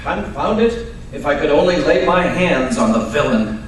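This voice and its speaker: A fairly high, very animated voice, a man acting an irritable, imperious Victorian detective in an old-time radio play. He is curt and emphatic, heard in a strongly echoing room. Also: normal speed. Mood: indignant. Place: live performance at a radio club event. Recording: roomy, distant live stage recording with hiss.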